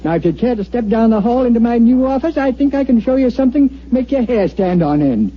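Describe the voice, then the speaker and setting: A bossy, wisecracking newsroom chief, high in pitch with a rough edge, fires off punchy orders. It is an old radio drama with muffled sound. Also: male voice, expressive, fast talker. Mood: brisk, triumphant. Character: brash, commanding, fast-dealing male newspaper editor. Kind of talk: 1950s radio drama acting.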